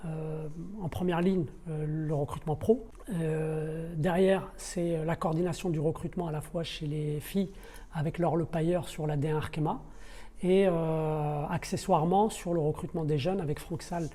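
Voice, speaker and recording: Mellow middle-aged man, halting delivery. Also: a little high, fairly even intonation. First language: not French. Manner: hesitant, searching for words with repeated words. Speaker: deputy sporting director of a football club. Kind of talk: podcast interview, spontaneous explanation.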